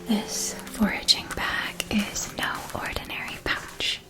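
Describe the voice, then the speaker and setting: A woman performing a whispered ASMR roleplay as a magic shopkeeper, speaking in a deliberate, softly enunciated way. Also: medium pitch, low-quality recording. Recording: loud music behind the whisper. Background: music.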